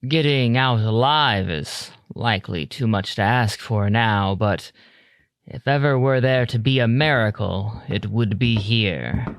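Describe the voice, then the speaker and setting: A man narrating an audio drama in a deliberate, atmospheric, scripted storytelling style. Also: lowish voice, dry recording. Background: music.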